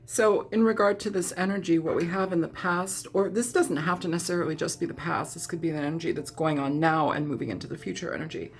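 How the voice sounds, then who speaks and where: A woman reading tarot in a chatty, meandering way, hoarse and scratchy from a cold, often clearing her throat. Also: on the low side, fairly even intonation, quick pace, few pauses. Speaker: tarot reader. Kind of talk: casual tarot reading monologue.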